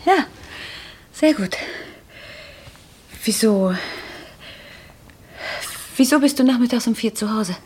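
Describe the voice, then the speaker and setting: An actress playing a nervous, defensive wife in a radio crime drama. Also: female voice, on the high side, animated, slow, pauses often, darkish tone, slightly rough, full character voice.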